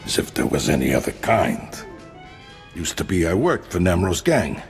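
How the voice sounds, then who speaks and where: An actor playing a weary, streetwise ex-gangster who talks colloquially in a video game cutscene. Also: male voice, deep voice, sing-song, normal speed, soft background music. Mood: urgent, grim. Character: weary ex-gangster man, cautious streetwise older brother.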